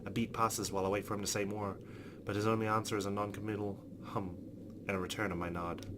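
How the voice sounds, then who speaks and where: A man's voice: lowish voice, quick pace. A man hosting a let's play, reading visual novel narration aloud and adding asides. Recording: loud steady background noise.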